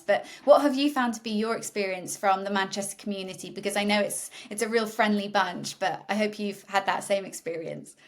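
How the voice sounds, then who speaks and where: A woman hosts the interview and asks long, chatty questions. She keeps an encouraging tone, and her voice sits at a middle pitch.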